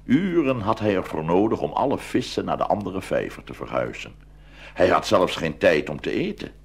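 Older style storybook narration from a deep husky guy, slow but very expressive. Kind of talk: scripted fairy-tale narration.

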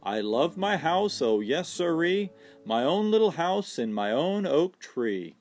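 A gentle, house-proud lady squirrel from a storybook read by a man, sweet and a little wistful. The pitch moves around in a lively way, with faint guitar music behind. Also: slow. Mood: singing contentedly.

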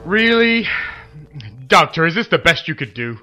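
A man's voice: fairly high pitch, big pitch swings, moderate pace, sharp tone, soft background music, exaggerated voice. A man playing a pompous, earnest superhero commander in a comedy audio drama, with heroic formality that slips into friendly chat. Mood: heroic, challenging.

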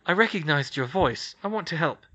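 A man's voice: fairly high pitch, animated, a little fast, bright, forceful tone, slightly rough, dull audio, pitched above the performer's own voice, full character voice. A man playing a smug scheming woman reporter. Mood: cool. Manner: smug and pushy. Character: smug, scheming middle-aged woman gossip journalist.

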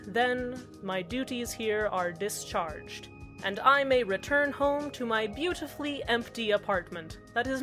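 A woman's voice: mid-range voice, close to an everyday voice. A woman playing a lonely radio host in an audio drama who insists that everything is fine. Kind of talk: scripted fan audio drama, radio monologue.

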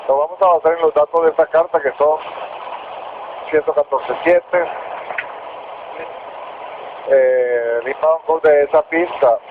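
A Venezuelan man, an airline pilot talking in the cockpit and making radio calls. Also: mid-range voice. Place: aircraft cockpit. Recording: muffled phone-like cockpit recorder, clear noise. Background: cockpit noise.

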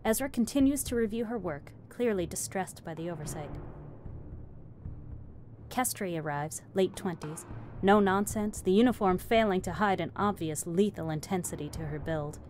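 A woman's voice: lowish voice, normal speed, darkish tone, a little hiss or hum. A woman doing scripted narration, reading stage directions as a narrator. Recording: music under the narration.

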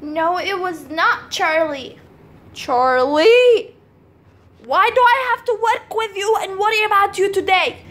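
A woman's voice: extremely high pitch, expressive, slow, a bit bright, exaggerated voice. A girl voicing a grumpy male judge in a homemade courtroom story, who sounds stern and exasperated.

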